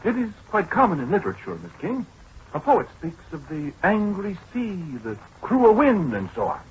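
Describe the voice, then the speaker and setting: High-pitched, husky pedantic scientist. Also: male voice, sing-song, normal speed, full character voice. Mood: condescending, pedantic. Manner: lecturing, precise, hesitant with small interjections. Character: proud, pedantic male scientist showing off a giant computer. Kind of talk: 1940s radio acting. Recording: dull, muffled 1940s radio broadcast.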